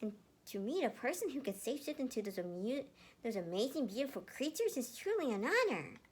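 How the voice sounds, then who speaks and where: A woman voicing a wide-eyed, excitable young boy, earnest and gushing, in a fan fiction reading. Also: a little high, brisk, breathy edge, pitched above the performer's own voice. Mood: awestruck excitement. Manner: gushing, enthusiastic.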